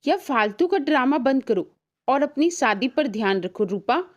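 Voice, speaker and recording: A woman's voice: high-pitched, animated, normal speed, muffled recording. A woman voicing a stern, exasperated mother of a grown daughter in a cartoon story. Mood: scolding. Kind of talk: Hindi cartoon story acting.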